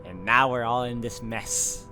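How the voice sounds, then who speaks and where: A man's voice: mid-range voice, a little slow, music in the background. A man reading a visual novel aloud as he plays it. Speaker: video game player reading aloud.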